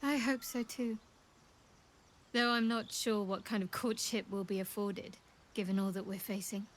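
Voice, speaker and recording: A woman playing a devout young cleric of a dark goddess in a video game, earnest, formal and guarded. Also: medium pitch, steady tone, moderate pace, slight background noise, close to an everyday voice. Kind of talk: video game dramatic dialogue.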